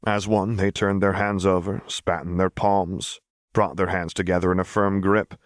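A man's voice: low voice, flat delivery, normal speed, no room sound, clean studio sound. A man reading fiction aloud as scripted audiobook narration. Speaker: audiobook reader.

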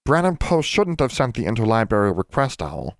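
A man's voice: medium pitch, big pitch swings, a little fast, dry recording, studio quality, pitched above the performer's own voice. A man voicing a timid, servile library elf in an audiobook, speaking eagerly in broken servant grammar. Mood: earnest, pleading. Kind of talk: audiobook character voice.

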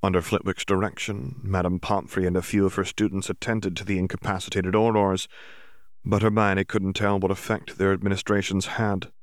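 A man reading a fan fiction audiobook aloud in an even, clear storytelling voice. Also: deep voice, normal speed, no echo, clean studio sound. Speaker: audiobook narrator.

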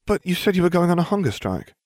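Male narrator as a precise, concerned girl of twelve for a fantasy audiobook; higher voice, animated, very dry sound. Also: fast talker, no room sound. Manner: earnest, softly insistent. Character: earnest, bookish twelve-year-old schoolgirl, concerned and precise.

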